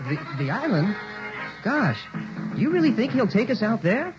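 An actor playing an eager, boyish young medical student, full of gosh-wow chatter, in 1960s radio drama style. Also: male voice, sits high, very animated, moderate pace. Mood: eager, awed. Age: young adult. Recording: muffled old radio recording, music behind.

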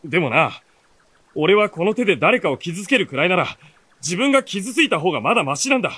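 An actor playing an earnest, caring young warrior companion with a husky voice in a radio drama. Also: male voice, sits high, sing-song, fairly quick, pushed and bright, no echo, full character voice. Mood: apologetic. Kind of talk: Japanese radio drama acting.

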